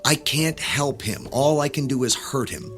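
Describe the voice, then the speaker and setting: A man's voice: mid-range voice, measured pace, fairly bright tone, soft background music, natural voice. An actor playing an uneasy, indignant ordinary man in a radio drama, sarcastic and exasperated in manner. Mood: resigned. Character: uneasy, indignant ordinary man worried about his brother. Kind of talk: naturalistic radio drama acting.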